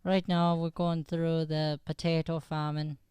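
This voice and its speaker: Bashful young Irish lad for improv radio comedy, played by a man: very smooth tone, halting delivery, dry close sound. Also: on the high side, flat delivery, darkish tone, no room sound, natural voice. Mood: earnest, shy. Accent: Irish, put on. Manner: hesitant, stammering. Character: timid, socially anxious young Irish schoolboy. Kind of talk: improvised old-time radio comedy play.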